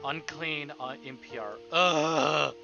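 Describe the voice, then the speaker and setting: A man with a slightly high voice gives casual live commentary on a visual novel. He jokes and swears freely. The sound is a little dull, with music clearly behind him. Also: fairly even intonation, drawn-out words. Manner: sweary, joking. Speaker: streamer.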